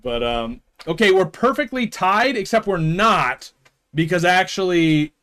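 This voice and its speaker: A young man with a slightly higher voice streams and chats loosely with his viewers. He rambles, restarts sentences and drops in small chuckles. His talk is casual and unscripted. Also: expressive, unhurried, a bit bright. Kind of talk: casual chat with live viewers.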